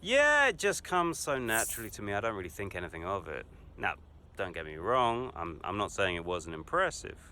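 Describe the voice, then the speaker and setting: Cocky, animated male racing driver. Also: on the low side, fairly quick, slight background noise, heavily acted. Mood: smug. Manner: smug, breezy, off-the-cuff boasting. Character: cocky, self-satisfied male Formula One racing driver. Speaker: racing driver being interviewed. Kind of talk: acted video game cutscene interview.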